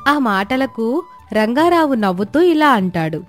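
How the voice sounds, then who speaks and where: A woman's voice: a little high, very animated, measured pace, no echo, faint music behind. A woman reading a scripted moral tale as the storyteller.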